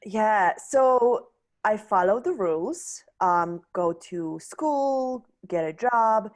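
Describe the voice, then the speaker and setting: A woman's voice: mid-range voice, animated, slow pace. A woman from Poland telling her personal story as a podcast guest, candid and searching, often restarting her sentences.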